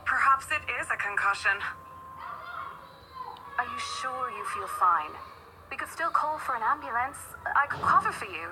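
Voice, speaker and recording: A woman's voice: high voice, animated, normal speed, pauses often, noisy background. A woman voicing a protective, no-nonsense senior coworker in a visual novel game, speaking in a firm and caring way. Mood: worried. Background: background game music.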